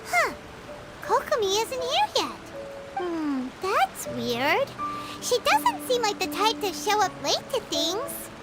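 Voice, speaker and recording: A woman voicing a small, excitable fairy companion girl in a game, high and bubbly, easily startled. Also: slow pace, heavily acted. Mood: puzzled. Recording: game audio over loud music.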